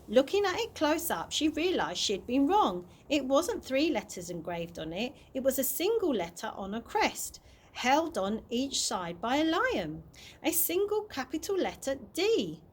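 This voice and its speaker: A woman's voice: high voice, sing-song, measured pace. A woman narrating a children's chapter book aloud as a storyteller. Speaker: early years practitioner reading stories to children.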